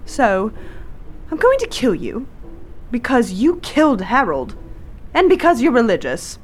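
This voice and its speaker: Woman as centuries-old murderous witch, high voice, mocking, coldly gleeful, theatrical radio play delivery, faint music underneath. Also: huge pitch swings, a little slow, a little mellow. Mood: taunting, amused.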